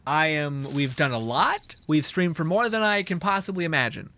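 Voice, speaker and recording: A man giving casual, sweary live commentary on a game as a streamer, animated and mock-indignant while chatting with viewers. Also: fairly high pitch, moderate pace, slight background noise. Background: game music.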